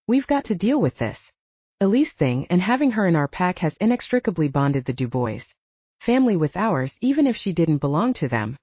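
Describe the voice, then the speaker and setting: A woman's voice: lowish voice, sing-song, normal speed, darkish tone, dry recording, muffled recording. A woman voicing a commanding, exasperated older brother, an alpha werewolf, with a stern, patronizing manner in an audiobook. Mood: stern, weary.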